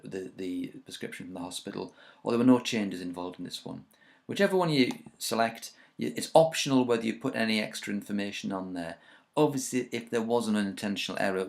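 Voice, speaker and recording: A man narrating a software tutorial in an informal, explanatory way, like a trainer talking learners through it. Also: fairly low pitch, vocal fry.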